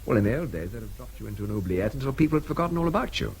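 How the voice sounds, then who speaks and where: A capable British man in a radio play, talking quickly with lively swings of pitch. He is sarcastic, clipped and a little superior. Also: slightly rough. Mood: sardonic, brisk. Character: brisk, sardonic, capable British man, exasperated rescuer. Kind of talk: radio drama acting.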